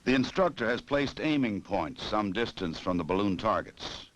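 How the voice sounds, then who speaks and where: An American man narrating a 1960s instructional film in a formal, measured, authoritative way, on an old film soundtrack. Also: fairly low pitch, lively intonation, dull audio, a little hiss or hum.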